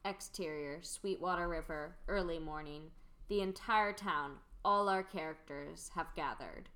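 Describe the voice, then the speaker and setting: A woman's voice: sits low, a little slow, sharp tone. A young adult woman reading the stage directions in a podcast radio play, dry and matter-of-fact.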